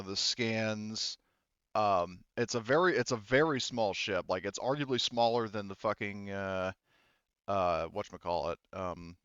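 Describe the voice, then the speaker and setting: A man running an online tabletop game, narrating casually in a halting, informal way with some swearing, over voice-chat audio. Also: fairly low pitch, a bit bright, smooth, dry recording, dull audio. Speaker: game master.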